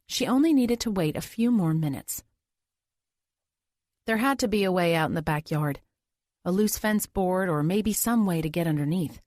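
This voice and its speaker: A woman's voice: fairly low pitch, expressive, normal speed, pauses often, darkish tone, dry recording, studio quality. A woman reading scripted thriller narration as an audiobook narrator.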